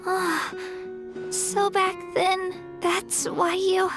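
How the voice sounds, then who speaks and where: A woman voicing a small, earnest and excitable childlike companion in an anime-style video game, squeaky and emotive. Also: extremely slow, full character voice. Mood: surprised, puzzled. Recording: prominent music behind the voice.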